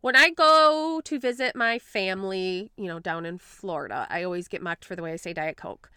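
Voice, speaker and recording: A woman's voice: medium pitch, lively intonation, normal speed, sharp tone, smooth, no room sound, clean studio sound. A woman podcast co-host from Michigan with a Western Michigan accent that has a touch of the Upper Peninsula, chatting casually, swearing freely and laughing often. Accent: Western Michigan, Upper Peninsula tinged.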